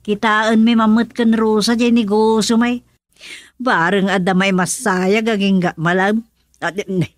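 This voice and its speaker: A village wife acted by a woman in a rural radio comedy, with a mid-pitched voice. She is practical and mildly fussing, speaking in a natural dramatic style. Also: level tone, a little slow, no room sound, studio quality, lightly acted. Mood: matter-of-fact.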